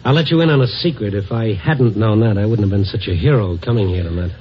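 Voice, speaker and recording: A wry, steady newspaper reporter. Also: male voice, on the low side, quick pace, slightly rough. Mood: calm, wry. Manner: dry, wisecracking, calm. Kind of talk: 1950s radio acting. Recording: dull old radio broadcast with music.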